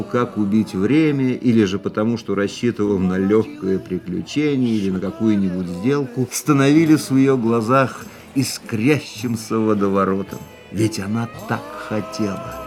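A male actor playing a world-weary man in a radio play, musing tenderly on the woman he loves in a measured, reflective monologue. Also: fairly low pitch, a little mellow, natural voice. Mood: wistful. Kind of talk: literary first-person monologue in a radio play. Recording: music under the voice.

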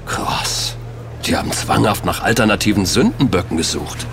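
A man playing an excitable, indignant member of an investigative team in a German audio drama, speaking emphatically. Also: lowish voice, normal speed, background noise. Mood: astonished.

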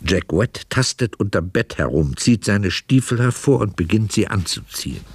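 A man narrating a radio drama from a script in a measured, descriptive way. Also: on the low side, very animated, gravelly, slight background noise.

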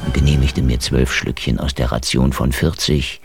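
Casting: hardboiled, wisecracking KGB man, extremely deep, sardonic deadpan, noir radio play over prominent music. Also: normal speed. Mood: brooding, cynical. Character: hardboiled cynical male KGB investigator, hard-drinking, foul-mouthed, wisecracking. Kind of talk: noir radio drama, first-person narration and dialogue. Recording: music behind the voice.

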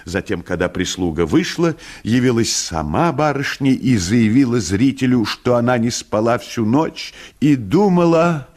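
A man reading a classic short story as a dramatised, expressive literary narration with an ironic touch. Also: medium pitch, unhurried, few pauses.

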